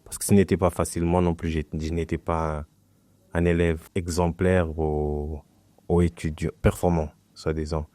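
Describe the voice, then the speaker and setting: A young man from Portugal whose first language is Portuguese, talking as a guest in a relaxed, hesitant way and often restarting his phrases. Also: deep voice, normal speed, dry recording. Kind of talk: casual conversation.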